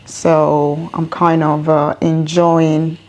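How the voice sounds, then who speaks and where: A woman's voice: low-pitched, level tone, very slow, darkish tone. A woman around her thirties or forties, from Ghana and Italy, with a mixed, partly American accent, answering in a conversational interview. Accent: multicultural mixed accent with some American. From born in Ghana, grew up in Italy. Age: 30s to 40s. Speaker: interview guest. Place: her own home, indoors.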